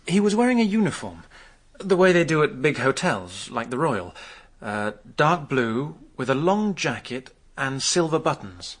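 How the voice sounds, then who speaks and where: An actor playing a hard-drinking ne'er-do-well giving a halting witness account in a radio drama. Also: male voice, a little high, big pitch swings. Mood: recollecting. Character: hard-drinking squandering son, a male witness recalling.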